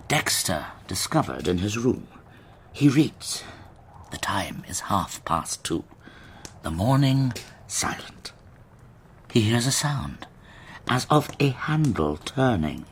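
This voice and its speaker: Florid, cunning Victorian eccentric, wildly swooping. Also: male voice, fairly low pitch, slight background noise, exaggerated voice. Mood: dramatic, conspiratorial. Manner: florid, declaiming, mocking. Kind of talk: radio drama acting.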